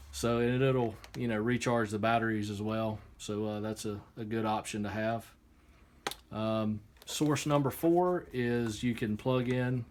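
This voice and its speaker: Male product reviewer, mid-pitched, casual and halting, step-by-step explainer, noisy workshop recording. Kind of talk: casual hands-on unboxing and demonstration. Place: indoor workshop. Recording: clear background noise, room ambience.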